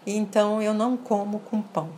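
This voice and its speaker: A woman from France with a slight French accent, answering interview questions in a measured way, stressing single words and often checking in with "tá?". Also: mid-range voice, little pitch movement, dark tone, a little hiss or hum. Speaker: interview guest. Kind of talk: casual interview conversation.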